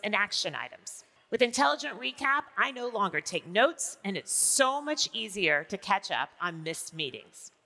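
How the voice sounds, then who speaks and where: A woman in her forties giving a polished, excited conference keynote from a large stage on a headset mic. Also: on the high side. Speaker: keynote speaker. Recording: big hall with long reverb.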